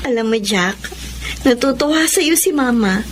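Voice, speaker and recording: A woman playing an affectionate, caring young wife in a Filipino radio drama, with a Filipino accent and expressive delivery. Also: fairly high pitch, a little slow, slight background noise.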